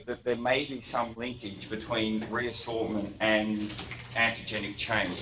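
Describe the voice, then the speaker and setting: Lower-voiced man lecturing in an echoey hall. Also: noisy background. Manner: unhurried, frequent ums and self-corrections. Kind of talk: academic lecture. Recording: muffled echoey hall recording from a distance.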